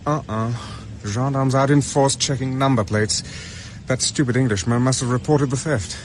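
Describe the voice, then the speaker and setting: A man's voice: mid-range voice, steady tone, normal speed, noisy background, close to an everyday voice. An actor with a British accent playing a resourceful, hunted officer, muttering his thoughts in clipped exclamations in a radio drama. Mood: wry, alert. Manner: talking to himself in clipped exclamations. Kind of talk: radio drama acting with muttered inner monologue.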